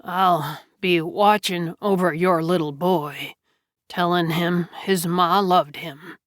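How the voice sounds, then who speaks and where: A woman voicing a gentle dying man in an audiobook, speaking weakly and haltingly with tender, loving strain. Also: lowish voice, fairly even intonation, dry recording, studio quality. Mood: tender, fading. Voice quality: weak, strained. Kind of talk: dramatic audiobook character acting.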